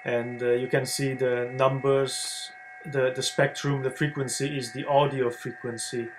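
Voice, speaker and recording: A man talking through an unscripted software screencast tutorial in a hesitant, halting way, as a video blogger would. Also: mid-range voice, level tone. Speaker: ham radio video blogger demonstrating software. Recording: clear background noise with hum.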